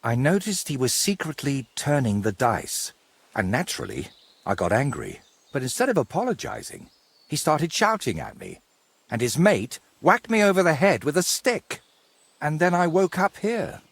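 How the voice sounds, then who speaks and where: Husky middle-aged male painter for a medieval game: courteous, wry, florid, very animated intonation. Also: fairly high pitch, moderate pace, dry recording, full character voice. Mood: indignant storytelling. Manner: theatrical, wordy, courteous. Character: wry, wordy ageing medieval painter, robbed but polite. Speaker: video game character. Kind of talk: game cutscene dialogue.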